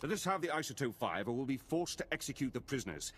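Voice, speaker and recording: An actor playing a courteous but menacing imperial military officer in a video game, smug and condescending. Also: male voice, mid-range voice, sing-song, quick pace, fairly bright tone. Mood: coolly threatening. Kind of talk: video game villain acting.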